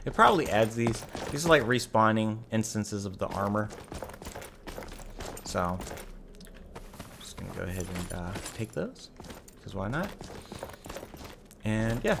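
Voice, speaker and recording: A man with a slightly raspy voice giving casual live game commentary as a streamer, rambling and correcting himself as he thinks aloud. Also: lowish voice, sing-song, unhurried, long pauses, background noise.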